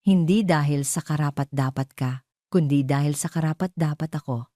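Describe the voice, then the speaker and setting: Narrator as composed female lead, measured and sure of herself, deep register, dry story recording. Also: no echo, natural voice. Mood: calm, firm. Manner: calm, measured, firm. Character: composed, self-possessed woman forgiving her former love.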